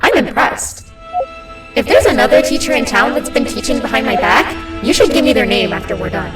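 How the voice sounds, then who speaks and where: A prim, commanding schoolteacher with menace, for a horror game. Her fairly high voice swings theatrically up and down. It echoes from a little distance beneath very loud music. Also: sing-song, brisk. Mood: approving, suspicious. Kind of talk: video game character acting.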